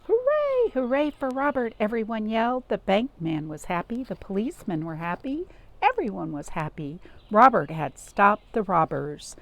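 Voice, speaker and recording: A woman's voice: medium pitch, sing-song, normal speed, vocal fry. A woman hosting a children's storytime, speaking in simple, repeated phrases and addressing young listeners directly. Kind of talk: read-aloud storytelling and craft talk for young children.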